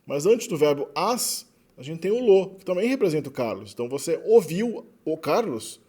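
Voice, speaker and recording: Brazilian man giving a relaxed lecture. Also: on the high side, moderate pace, breathy edge. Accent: Brazilian Portuguese. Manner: relaxed, frequent tag questions, self-correcting. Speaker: linguistics lecturer. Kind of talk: explanatory lecture.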